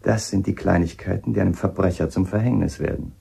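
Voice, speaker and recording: An actor playing a stern, probing police inspector in a radio crime drama, questioning insistently and accusingly. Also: male voice, sits low, quick pace, vocal fry, dark tone, husky, exaggerated voice.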